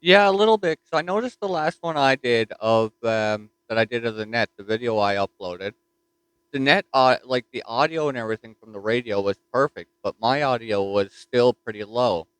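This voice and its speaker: A man with a Newfoundland accent chatting casually as a ham radio operator from his home station, relaxed and easygoing. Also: mid-range voice, normal speed, smooth, no echo, poor audio. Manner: easygoing, says "roger that".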